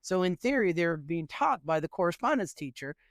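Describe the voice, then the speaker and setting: Guy in his middle years from newfoundland canada, a bit high-pitched, gets fired up, lots of ums. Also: a little fast, no room sound, studio quality. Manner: earnest, animated, frequent fillers. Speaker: education professor, guest. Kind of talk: conversational interview answers.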